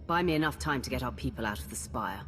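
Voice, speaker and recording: A low woman's voice with lively inflection over soft music. a decisive game commander, cool, composed and direct. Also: quick pace. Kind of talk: video game cutscene acting.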